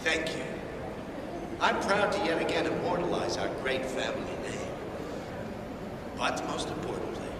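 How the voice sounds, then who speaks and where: A man's voice: on the high side, sing-song, normal speed, long pauses. A man playing a pompous, wealthy tycoon in a video game, giving an effusive, grandiose ceremonial speech. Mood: grand, proud. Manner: grandiose, effusive public speaker. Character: pompous, self-satisfied wealthy male tycoon. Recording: very echoey PA, distant, noisy crowd.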